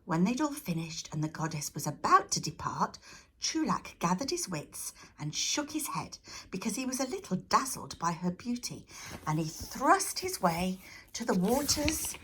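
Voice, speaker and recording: A woman narrates a children's story from the page. Her voice is medium-pitched and a little husky, with very lively, expressive intonation. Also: moderate pace, rough recording.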